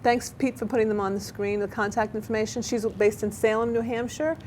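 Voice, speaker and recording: A woman hosting a local TV talk show, chatty and animated, often tripping over her words. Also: on the high side, fairly quick, slight background noise. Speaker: talk show host and therapist. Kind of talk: casual local TV interview chat. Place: local cable TV studio.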